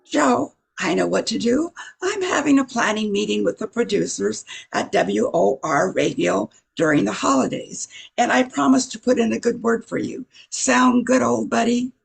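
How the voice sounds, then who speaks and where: An older woman playing a brisk, self-assured, wry radio newsroom boss in a 1940s-style radio comedy, with a creaky, husky voice. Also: on the high side, full character voice. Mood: teasing, wry.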